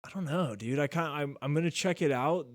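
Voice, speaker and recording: A man's voice: fairly high pitch, fairly quick, no echo. A man in his thirties hosting a podcast, chatting casually in a laid-back, rambling way that is full of "like" and "dude". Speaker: podcast host. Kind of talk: casual chat.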